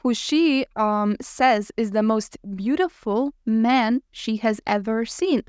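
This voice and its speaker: A woman with a fairly high, smooth voice talks as a podcast co-host in a casual book chat. She is animated and opinionated, and swears freely. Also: slow pace, dry recording, clean studio sound.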